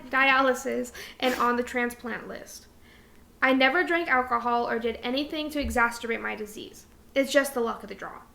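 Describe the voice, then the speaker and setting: A woman's voice: fairly high pitch, gravelly, pushed and bright. A young adult woman co-hosting a casual chat podcast, reading aloud and breaking off into irreverent, giggly joking asides. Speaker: podcast co-host.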